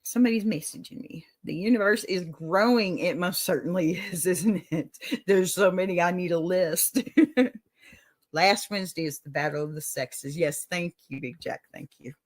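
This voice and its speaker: A woman's voice: lowish voice, normal speed. Folksy Texas woman hosting an advice show. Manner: folksy and motherly, calls listeners honey and y'all. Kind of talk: warm encouraging livestream advice talk.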